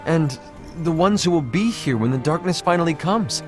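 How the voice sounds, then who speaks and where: A man's voice: fairly high pitch, animated, normal speed, music in the background, close to an everyday voice. An actor playing an earnest, serious male apprentice who speaks in a grave, determined manner.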